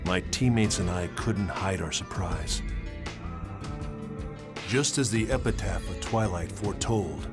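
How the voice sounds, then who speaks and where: A man acting as a brooding researcher who narrates past events in a measured, solemn way for a game drama. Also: on the low side, close to an everyday voice. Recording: music behind the voice.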